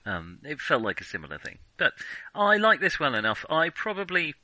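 A man's voice: fairly high pitch, huge pitch swings, brisk, pushed and bright, dry recording, poor audio. A man co-hosting a casual film discussion podcast, speaking in a hesitant way and often qualifying what he says.

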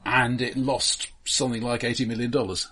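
A man co-hosting a podcast in casual film discussion, explaining things in a halting, analytical way. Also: medium pitch, little pitch movement, pushed and bright, slight background noise. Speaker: podcast co-host.

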